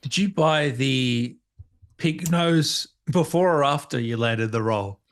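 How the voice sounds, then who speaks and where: A man's voice: medium pitch, measured pace. Casual male gaming interview host. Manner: banter, short prompting questions.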